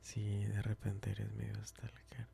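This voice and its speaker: Guy playing a smitten best friend in asmr, soft mellow voice with some creak, whispery, affectionate n teasing. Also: on the low side, monotone, exaggerated voice. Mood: gently teasing. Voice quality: soft, breathy, often whispering. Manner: slow, hesitant, affectionate, repeats himself. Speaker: ASMR roleplay creator. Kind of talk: ASMR roleplay, intimate chat.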